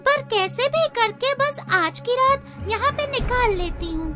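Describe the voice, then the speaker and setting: Tiny, piercingly high little bird. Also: female voice, lively intonation, a little slow. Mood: fearful. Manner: polite, earnest, fretful. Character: small, anxious, innocent female bird. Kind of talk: children's cartoon voice acting. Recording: music behind.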